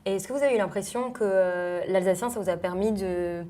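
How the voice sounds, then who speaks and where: A woman's voice: mid-range voice, brisk, warm tone. Young woman interviewer, hesitant. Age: young adult. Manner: hesitant, many fillers. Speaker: student interviewer. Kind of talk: interview hosting.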